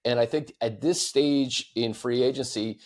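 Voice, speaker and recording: A man's voice: mid-range voice, a little slow, a little mellow. An American man hosting a sports talk show in a casual, easygoing, conversational style. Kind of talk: casual sports talk, reading fan questions.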